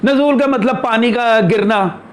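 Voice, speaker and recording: A man's voice: very high voice, a little slow, a little hiss or hum. A man giving an unscripted spiritual discourse as a teacher, explaining in short, broken phrases.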